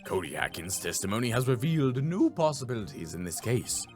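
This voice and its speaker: A man voicing a stern, formal old trial judge from a video game, slow-witted and ponderous. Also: mid-range voice, sing-song, soft background music, voice pushed down, lightly acted. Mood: solemn.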